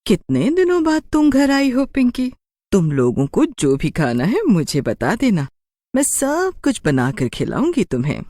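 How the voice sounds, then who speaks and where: A woman voicing a caring, worried village mother who speaks in a gentle, earnest, cautioning way. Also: fairly high pitch, wildly swooping pitch, measured pace, no room sound, voice pushed up, exaggerated voice. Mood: welcoming.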